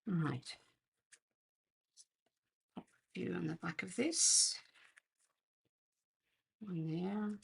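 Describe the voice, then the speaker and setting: An Australian woman with a croaky voice hosts a casual live craft tutorial, chatting and murmuring to herself as she works. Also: fairly low pitch. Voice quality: husky, croaky. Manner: unhurried, chatty, murmuring to herself while working. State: croaky voice, clearing throat. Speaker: host of a craft demonstration livestream.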